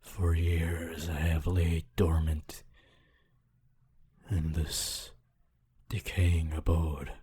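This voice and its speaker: A man playing a gentle, lonely, centuries-old ghost of a decaying mansion in a solemn, halting ASMR roleplay. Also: level tone, full character voice. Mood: solemn, melancholy. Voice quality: deep, soft, husky and breathy. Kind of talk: ASMR audio roleplay, slow scripted monologue.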